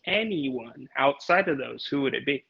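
A man in his thirties hosting an interview, relaxed and affirming, with casual fillers. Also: medium pitch, moderate pace, creaky, slightly rough, lo-fi sound. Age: 30s. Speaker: podcast host.

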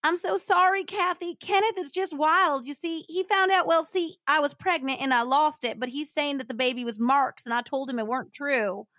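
Woman acting a high-pitched, distraught feisty mistress. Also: animated, moderate pace, hardly any pauses, bright, forceful tone, no echo, studio quality. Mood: pleading, distraught. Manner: crying out, pleading, breathless denials. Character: tiny feisty distraught mistress, pleading and denying.

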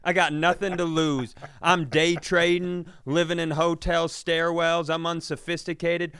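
A man with a clear, resonant voice set a little high, recorded very dry. He tells casual comic stories as a podcast co-host, animated and exasperated, swearing and making fun of himself. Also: a little slow, no echo. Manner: self-deprecating, profane. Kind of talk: comedic storytelling in casual podcast chat.

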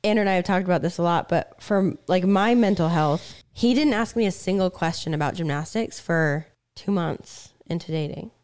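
A woman co-hosts a candid podcast conversation, thinking aloud and restarting her sentences as she goes. Her voice sits a little low and comes through smooth, with a slight rasp. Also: darkish tone. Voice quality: slightly raspy. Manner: reflective, speaks in halting restarts. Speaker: podcast co-host.

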